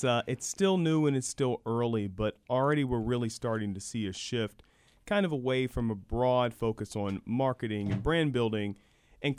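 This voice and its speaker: A man's voice: medium pitch, dry recording. A man speaking as an expert guest in a sports talk interview, explaining things and repeating words for emphasis. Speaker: guest, university program director.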